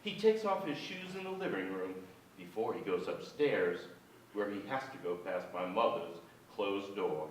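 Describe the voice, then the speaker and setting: Older actor as a reflective son, very echoey. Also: male voice, fairly low pitch, animated, normal speed, close to an everyday voice. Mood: somber, reflective. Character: reflective son recounting his troubled family. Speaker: actor reading a short story. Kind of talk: literary staged reading. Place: on a theater stage, indoors. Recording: very echoey theater, distant, noisy.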